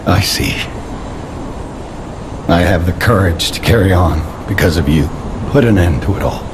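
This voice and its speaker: An older man playing a grave, sorrowful father in a video game, with a measured, paternal manner. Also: low-pitched, expressive, noisy background. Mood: earnest, tender. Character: grave, sorrowful old father appealing to his son. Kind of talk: video game cutscene acting.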